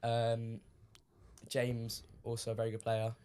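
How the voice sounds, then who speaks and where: A teenage man from the UK, a guest on a podcast, talking casually with lots of ums, his voice a little croaky from shouting. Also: medium pitch, unhurried, a little hiss or hum. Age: teens. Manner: relaxed, many ums, recounting matches. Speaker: guest player.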